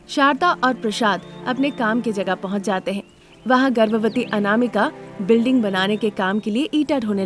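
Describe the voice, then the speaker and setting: A woman reading scripted narration of a moral story as the narrator. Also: medium pitch.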